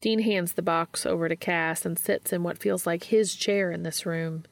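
A woman reads fiction in a low voice with a strong creak. It is scripted narration, recorded very dry and close. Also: fairly even intonation, moderate pace, no echo. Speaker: audiobook narrator.

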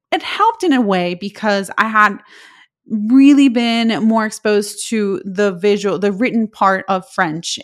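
Puerto Rican woman hosting a podcast. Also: mid-range voice. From Puerto Rico. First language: Spanish. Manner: reflective, storytelling with personal anecdotes. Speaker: podcast host. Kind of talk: solo podcast monologue.

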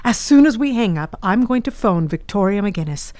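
A woman's voice: mid-range voice, lively intonation, moderate pace, warm tone, no echo, lightly acted. A woman playing a nosy, gushing middle-aged mother who cares about status, in a period audio drama. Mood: delighted, gossipy. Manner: eager, prying, gossipy.

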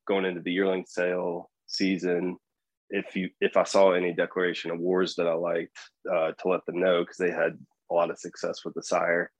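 A man with a very low, steady voice answers questions as a podcast guest. He speaks in a relaxed, hesitant way, full of fillers and restarts, with little rise and fall. The sound is dry and close. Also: no room sound, poor audio. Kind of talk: casual interview answers.

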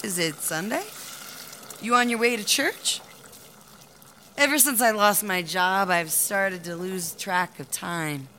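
A friendly female prisoner dodging in a comedic audio drama. She speaks very slowly with a sharp, bright tone and faked innocence, over faint noise. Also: medium pitch. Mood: innocent, evasive. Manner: evasive, feigned innocence. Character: evasive, friendly female prison inmate behind on rent.

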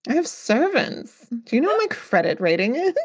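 Playful woman with a wide pitch swing. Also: big pitch swings, normal speed, husky. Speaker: player in actual play podcast. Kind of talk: casual improvised tabletop roleplay banter.

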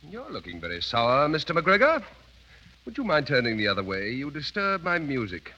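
An actor playing a flippant, urbane British lieutenant with an airy, mock-polite manner in a 1930s radio drama. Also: male voice, fairly high pitch, wildly swooping pitch, moderate pace, sharp tone, full character voice. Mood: teasing. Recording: dull, noisy vintage 1930s radio.

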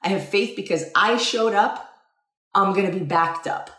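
A woman's voice: mid-range voice, moderate pace, bright, forceful tone, reverberant. A woman co-hosting a casual talk, reflective and earnest, speaking in long, winding sentences.

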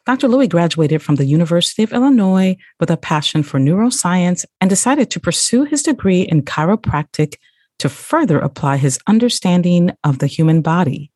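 A woman hosts a podcast, reading her guest's introduction before slipping into easy conversation. Her voice sits low but moves up and down with lively range. The sound is dry and close, and she laughs off her own small mistakes. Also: fairly quick, no room sound. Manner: laughs at own slips, chatty and self-correcting. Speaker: podcast host. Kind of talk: podcast interview, reading an intro then chatting.

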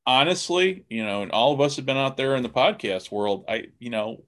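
A man's voice: mid-range voice, a little fast, a bit bright. Man hosting, rambling casual podcast talk. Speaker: podcast host interviewing guests. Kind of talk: casual conversational interview. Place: remote call on a computer.

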